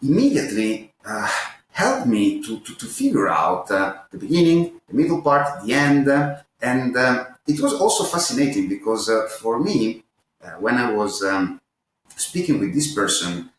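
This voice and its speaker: A man's voice: mid-range voice, very animated, moderate pace, reverberant, slight background noise, poor audio. A man with an Italian accent, an author answering interview questions hesitantly, with many fillers and restarts. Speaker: author, guest.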